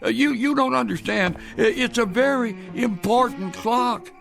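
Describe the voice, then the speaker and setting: An actor playing an anxious, stubborn grandfather in his seventies in a radio drama, with a halting, stammering delivery. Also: very high-pitched, vocal fry, a bit bright, faint music behind, exaggerated voice. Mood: flustered, anxious. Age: 70s. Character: frail, anxious, stubborn 76-year-old grandfather. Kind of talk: old-time radio drama acting.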